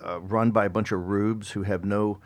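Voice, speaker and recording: A man's voice: lowish voice, fairly even intonation, normal speed. A man hosting a political talk show, pressing his guests with emphatic rhetorical questions and frequent restarts.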